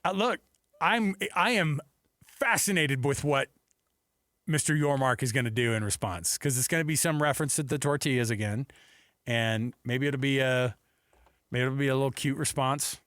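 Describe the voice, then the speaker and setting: A man hosting a sports talk radio show, telling stories and bantering in an animated, emphatic way. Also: medium pitch, moderate pace, dry recording. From Texas and Seattle. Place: radio studio. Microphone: close studio microphone.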